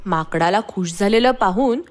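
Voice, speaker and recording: A woman's voice: medium pitch, moderate pace, darkish tone. A woman narrating a bedtime story expressively for young children.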